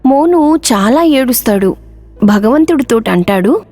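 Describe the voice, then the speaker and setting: A woman's voice: a little high, animated, unhurried, dark tone, no room sound, faint music behind. A woman narrating a scripted moral story for children as the storyteller.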